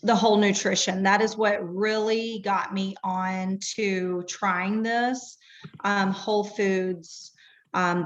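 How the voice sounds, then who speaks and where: A hoarse woman in her thirties, her voice half gone, talks through a personal testimonial at an unhurried, drawn-out pace. She fills her gaps with frequent ums. The recording is dull and lo-fi. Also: medium pitch. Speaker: product distributor.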